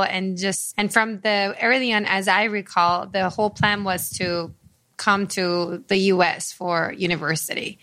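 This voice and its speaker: A woman's voice: medium pitch, pushed and bright. A woman from Iran who works as a clinical psychologist, answering interview questions in a chatty way with filler words.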